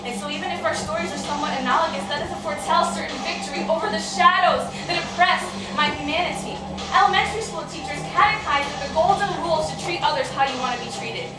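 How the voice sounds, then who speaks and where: A woman acting a wounded, reflective young woman reciting a poetic monologue. Her high voice is deliberate and declamatory, ringing in a very echoey room with music behind. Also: distant mic, lightly acted. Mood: measured defiance. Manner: deliberate, literary, declamatory phrasing. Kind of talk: spoken-word dramatic monologue.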